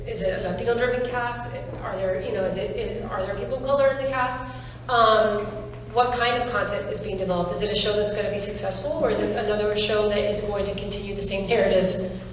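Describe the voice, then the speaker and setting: A woman casting director speaking earnestly on a panel, pausing with fillers and restarting her thoughts. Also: mid-range voice, a little hiss or hum. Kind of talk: panel discussion. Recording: echoey hall, far from mic.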